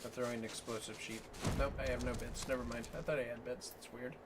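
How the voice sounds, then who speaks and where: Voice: mid-range voice, flat delivery, fast talker, heavy background noise. A co-streamer chatting casually during a game livestream. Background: music.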